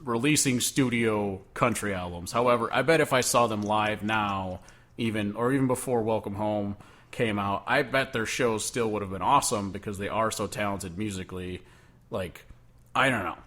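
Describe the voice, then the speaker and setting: A man's voice: on the low side, normal speed, a bit bright. A young man co-hosting a casual podcast, talking loosely and padding his points with many "like" fillers. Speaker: podcast co-host. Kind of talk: casual chat.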